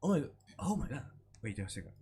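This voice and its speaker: A man giving casual, unscripted game commentary, halting and repeating short phrases, his voice slightly hoarse from a cold. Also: mid-range voice, big pitch swings, dark tone. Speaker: gaming video creator.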